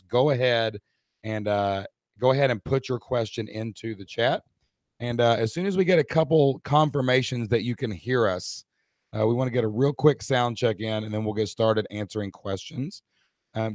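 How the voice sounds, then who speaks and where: A hoarse middle-aged man hosts a live stream and speaks upbeat and direct to the viewers. His voice sits at an ordinary pitch for a man. The sound is dry and close, with no room echo. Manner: upbeat, addresses the chat audience directly. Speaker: co-host of a live online Q&A.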